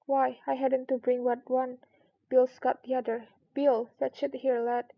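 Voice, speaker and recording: A woman's voice: high voice, little pitch movement, measured pace, creaky, exaggerated voice. A woman voicing bustling, bickering small animal workers in a storybook, urgent and rustic. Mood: hurried, bickering. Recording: dull lo-fi recording.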